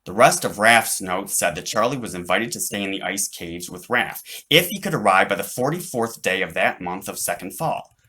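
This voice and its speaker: Bright-voiced man narrating a children's story. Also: lowish voice, lively intonation, normal speed. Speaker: author reading own book.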